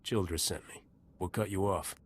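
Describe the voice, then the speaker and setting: An actor voicing a cocky, self-assured mercenary soldier in a video game, terse and swaggering. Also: male voice, deep voice, fairly even intonation, moderate pace, a little hiss or hum, natural voice. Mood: resolute. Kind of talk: video game dialogue acting.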